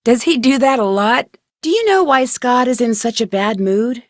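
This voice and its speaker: A woman performs a young female amateur detective for a video game. Her voice sits a little high and is recorded very dry. She speaks in an earnest, upbeat and inquisitive way, polite with everyone she questions. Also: expressive, normal speed, no echo, lightly acted. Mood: friendly, curious. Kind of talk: scripted adventure game dialogue.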